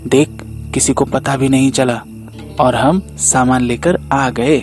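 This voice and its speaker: A man's voice: medium pitch, fairly even intonation, unhurried, darkish tone, soft background music, natural voice. A man acting village men in a cartoon moral tale. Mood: sly, conspiratorial.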